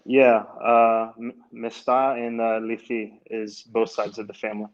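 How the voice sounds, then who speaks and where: Young man, flat easygoing speech. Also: medium pitch, unhurried, dull audio. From United States. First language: Greek. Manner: easygoing, hesitant with many restarts. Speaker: guest, amateur runner and goalkeeper. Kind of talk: casual interview answers.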